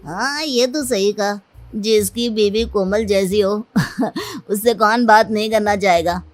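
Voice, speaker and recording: Casting: woman as envious gossiping coworker in animated morality tale. Slow, snide delivery, music behind. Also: mid-range voice, no echo, natural voice. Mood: snide, amused. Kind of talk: dramatised cartoon moral story.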